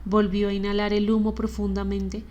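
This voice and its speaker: Flat-voiced woman narrator. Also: medium pitch, muffled recording. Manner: steady, unhurried reading. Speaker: podcast narrator. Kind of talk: audiobook reading.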